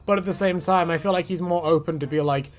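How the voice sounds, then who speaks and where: A man's voice: on the high side, fast. A man giving a casual, rambling video commentary in a chatty way, often correcting himself and wandering off on tangents. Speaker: gaming video creator.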